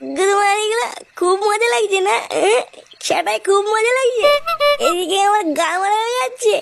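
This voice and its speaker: A performer voicing a foul-mouthed, rebellious young boy worker in a comic cartoon, ranting in a coarse, squeaky, creaky voice. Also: moderate pace, a bit bright, faint music behind, full character voice. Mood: bitter complaining. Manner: ranting, coarse, constantly cursing.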